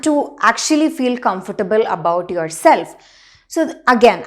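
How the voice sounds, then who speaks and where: Lively emphatic woman speaking coach. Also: a little high. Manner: emphatic, steady teacherly delivery, lists points one by one. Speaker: public speaking trainer. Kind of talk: scripted video lesson to camera.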